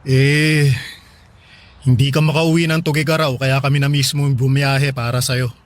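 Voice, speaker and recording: A man's voice: medium pitch, little pitch movement, moderate pace, natural voice. A male actor playing an earnest husband and father in a radio drama. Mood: hopeful, polite. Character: earnest husband and father, trusting, then suspicious.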